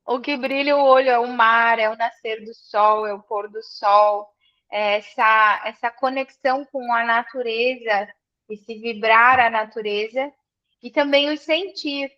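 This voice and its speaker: A woman's voice: fairly high pitch, steady tone, unhurried, dull audio. A woman from southern Brazil with a gaúcho accent, talking reflectively as an interview guest and repeating phrases for emphasis. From Serra Gaúcha, Rio Grande do Sul, Brazil. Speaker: interview guest, business mentee. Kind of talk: reflective conversation.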